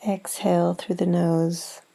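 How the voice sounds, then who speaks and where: A woman leading a guided meditation in a gentle, soothing, unhurried manner with long pauses. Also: on the low side, flat delivery, gravelly. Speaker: yoga nidra meditation guide.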